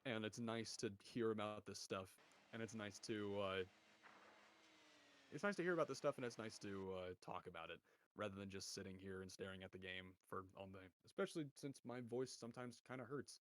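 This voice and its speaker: A young man with a deep voice streaming esports commentary from home, chatting with viewers and calling plays. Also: brisk. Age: young adult. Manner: rambling, chatty, restarts often, bursts louder on goals. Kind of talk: casual solo game commentary and chat reading. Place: home room.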